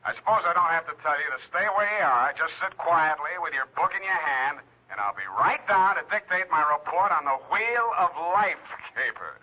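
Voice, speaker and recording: An actor playing a wisecracking, hard-boiled private detective in a 1940s radio drama, with a wry, offhand delivery. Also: male voice, a little high, animated, moderate pace, hardly any pauses. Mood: flippant, teasing. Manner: wry, offhand, hesitant asides. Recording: muffled, narrow-band 1940s radio broadcast.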